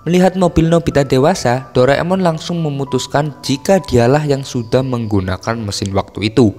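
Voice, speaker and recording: A man's voice: medium pitch, normal speed, darkish tone, in a large hall. A man with an Indonesian accent narrating a story recap in a casual, scripted style. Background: music.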